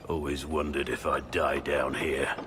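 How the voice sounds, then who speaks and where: A man's voice: very deep voice, little pitch movement, a little slow. A man playing a grim, fatalistic warrior in a video game. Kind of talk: video game dialogue acting. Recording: clanking machinery noise behind.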